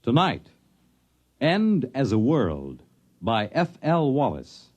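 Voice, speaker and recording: A man's voice: medium pitch, wildly swooping pitch, slow, a little hiss or hum. Theatrical, wildly swooping male radio announcer. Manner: theatrical, portentous delivery. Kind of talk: dramatic 1950s radio introduction, scripted. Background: loud music.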